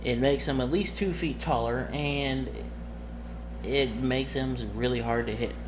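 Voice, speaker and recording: A man acts as game master, laying out a tabletop game scene in a casual, explanatory way. His delivery is halting and he often corrects himself. His voice sits at an average pitch, and there is clear noise over the recording.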